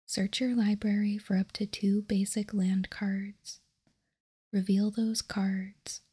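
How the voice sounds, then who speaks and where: A woman whispering softly in an unhurried, measured ASMR style as she reads out and comments. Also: medium pitch, little pitch movement. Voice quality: breathy, unvoiced whisper. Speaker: host of a card-game ASMR show.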